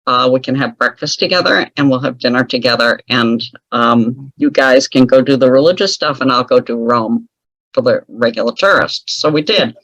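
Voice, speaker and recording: A woman's voice: rumbling low, fairly bright tone, no echo, rough recording. Chatty woman guest spinning a story, wry jokes, dry close sound. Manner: chatty, wry, laughs often at her own stories. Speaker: guest author. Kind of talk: casual storytelling interview.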